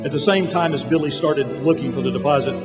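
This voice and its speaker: An actor playing a senior angel who recounts a life story with authority in a radio drama. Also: male voice, fairly high pitch, fast talker, natural voice. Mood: grave. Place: large church hall. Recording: echoey hall, music behind.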